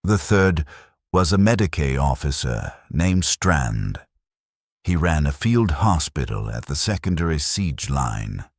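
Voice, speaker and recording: Very deep-voiced man narrating somberly. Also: dry recording, studio quality. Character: weary, stoic military supply clerk recounting wartime losses. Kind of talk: scripted first-person story narration.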